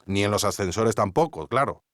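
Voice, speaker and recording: A man's voice: lowish voice, animated, a little fast, fairly bright tone, no echo, studio quality. An actor from Spain playing a wry, curious male investigator narrating a podcast, with ironic conversational asides to the listener. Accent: Spanish from Spain. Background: music.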